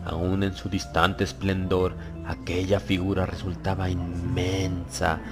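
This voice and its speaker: A man narrating a dramatized horror audiobook in a solemn, measured, dramatic way. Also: deep voice, completely flat delivery. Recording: loud music behind the voice.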